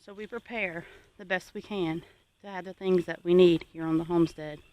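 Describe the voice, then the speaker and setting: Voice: medium pitch, steady tone, normal speed, dark tone. A homesteading vlogger from the United States giving an informal, reflective talk to viewers in an earnest, plainspoken, advisory manner.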